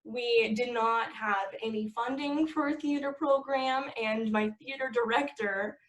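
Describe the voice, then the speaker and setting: A young woman talks freely about her stage work in a relaxed podcast chat. Her voice sits a little above average in pitch. She rambles, stacks up filler words and laughs easily as she goes. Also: measured pace, poor audio. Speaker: podcast guest, actress. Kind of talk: casual conversation.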